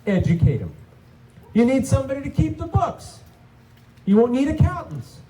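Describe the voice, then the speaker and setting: A man's voice: very high voice, big pitch swings, moderate pace, dark tone, low-quality recording. A man, a state senator, giving an emphatic rally speech built on punchy rhetorical questions.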